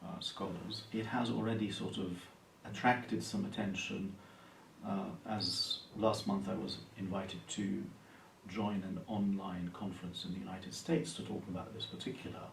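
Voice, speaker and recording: A man from Palestine speaks in a low, gravelly voice, measured and thoughtful, often pausing on fillers. He is recorded in an echoey room from some distance, with faint hiss underneath. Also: little pitch movement. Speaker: university lecturer and Anglican priest. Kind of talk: interview answers.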